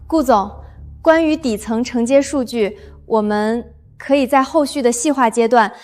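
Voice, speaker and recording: A woman playing a flustered but plucky young office employee in an animated drama. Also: a little high, moderate pace, close to an everyday voice. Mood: nervous, apologetic. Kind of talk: animated web drama dialogue.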